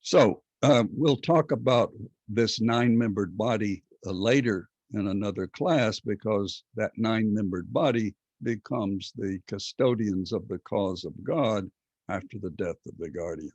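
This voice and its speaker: An older man teaching an online class from a home study, speaking in a measured, halting way with many fillers, his voice a bit hoarse. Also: fairly low pitch, a little mellow, no room sound. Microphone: laptop webcam video call. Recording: dull lo-fi video call audio.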